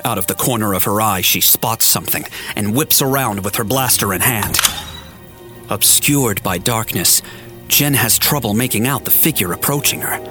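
A man's voice: lowish voice, moderate pace. A man giving a scripted, storytelling narration for a science fiction audio drama. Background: music.

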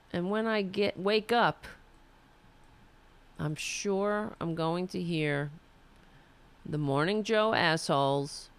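A woman from the United States hosts her own political show, ranting with fire and stumbling into restarts. Her voice is fading and hoarse, yet it stays smooth and clear. She speaks slowly, in a slightly low register. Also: fairly bright tone. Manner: fiery, ranting, restarts mid-sentence. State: losing her voice. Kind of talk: impassioned political monologue.

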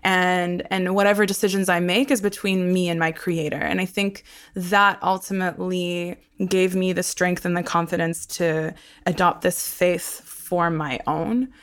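A woman's voice: lowish voice, little pitch movement, a little slow, a bit bright, clean tone. A young woman who hosts a podcast, talking easily about her life and laughing often. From Australia. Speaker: podcast host. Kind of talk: conversational interview.

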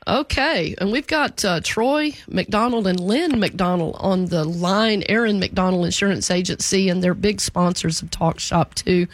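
A man's voice: high-pitched, unhurried, few pauses, dry recording. A man hosting a radio talk show, greeting callers in a friendly, encouraging way.

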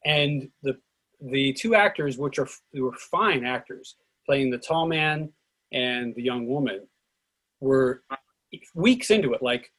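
Husky man hosting an informal discussion. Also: mid-range voice, expressive, a bit bright, low-quality recording. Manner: halting, digressive. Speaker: actor and director hosting a play reading. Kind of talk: casual discussion.

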